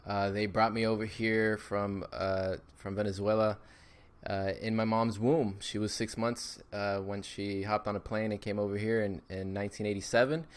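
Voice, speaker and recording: Upbeat thirtysomething man, smooth low voice. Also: level tone, normal speed. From Miami, Florida. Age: 30s. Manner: upbeat, with frequent fillers and repeats. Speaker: podcast guest. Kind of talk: casual interview chat.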